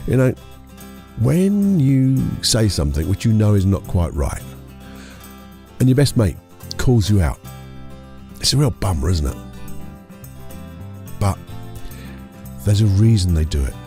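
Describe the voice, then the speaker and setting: A man with a croaky voice hosting a casual solo podcast, chatty and rambling, correcting himself as he goes. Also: on the low side, sing-song, unhurried, pauses often, dark tone, faint music behind. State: croaky voice from a lingering cold. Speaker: podcast host and hypnotherapist.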